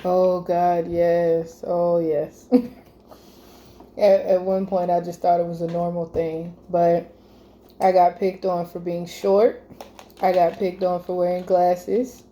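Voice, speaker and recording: Dark-voiced mother chatting casually. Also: on the low side, flat delivery, slow. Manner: teasing, laughs often, loose casual speech. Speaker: mother interviewing her daughter. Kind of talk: casual family chat.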